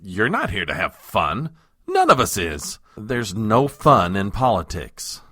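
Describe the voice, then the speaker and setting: Casting: male actor as long-suffering political aide, low voice, slow and formal, exasperated, for comedy audio drama. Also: huge pitch swings, pushed and bright, no echo, studio quality, heavily acted. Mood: weary, gloomy. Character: harried, exasperated, world-weary male chief of staff.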